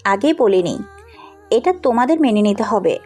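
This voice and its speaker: Audio drama daughter: blunt, caring young woman played by a woman, animated high voice, music underneath. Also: normal speed, close to an everyday voice. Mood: defiant. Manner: blunt, insistent. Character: headstrong, compassionate young woman, outspoken businesswoman daughter. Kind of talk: Bengali audio story acting.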